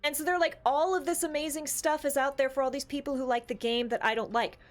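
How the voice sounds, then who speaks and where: A woman's voice: sits high, fairly even intonation, fast. A woman co-hosting a tabletop gaming discussion show, casual and opinionated, with an emphatic, sarcastic, theatrical way of talking.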